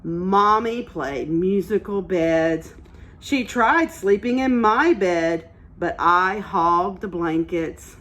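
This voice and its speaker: Casting: female preschool-style teacher for an interactive story lesson, unhurried pace, encouraging and clear in her explanations to little listeners. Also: lowish voice, fairly bright tone. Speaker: early childhood teacher reading aloud. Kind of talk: interactive read-aloud lesson for young children.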